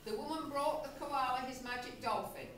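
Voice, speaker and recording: A very echoey, noisy room recording of a woman with a fairly high voice. She narrates a homemade comic puppet show like a storybook, event by event. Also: a little fast, fairly bright tone, recorded from a distance. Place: room in a nursing home.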